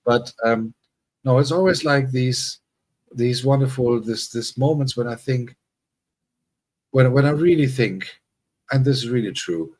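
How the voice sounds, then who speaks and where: A man's voice: mid-range voice, unhurried, long pauses, darkish tone. A man from Germany, hosting a casual livestream chat and rambling with many restarts and self-deprecating asides. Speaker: livestream host.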